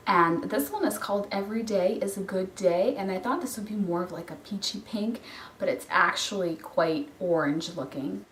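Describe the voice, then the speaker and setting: A woman with a cold and a hoarse voice, chatting casually through a makeup haul review with frequent ums and restarts. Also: mid-range voice, moderate pace, a bit bright. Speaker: beauty vlogger. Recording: roomy echo, from a distance, faint noise.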